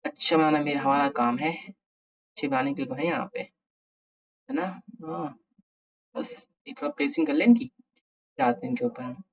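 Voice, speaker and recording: A man's voice: a little high, moderate pace, long pauses. A man with an Indian accent giving a casual coding tutorial, thinking aloud playfully and mixing Hindi with English. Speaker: programming tutorial host. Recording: dull lo-fi microphone sound.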